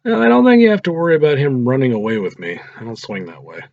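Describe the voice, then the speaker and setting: A man's voice: medium pitch, huge pitch swings, quick pace, vocal fry, a little mellow, pitched above the performer's own voice. A man voicing a smirking, crude, wisecracking teenage boy in a read-aloud story. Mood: crude joking. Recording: roomy echo, dull lo-fi sound.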